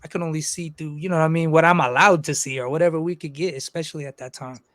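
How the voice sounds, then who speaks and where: A man's voice: fairly high pitch, steady tone, fast talker, fairly bright tone, dry recording. Rambling, loose-talking man with a dry sound. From Los Angeles. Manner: rambling, loose, swears freely. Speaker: guest rapper. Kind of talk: casual interview chat.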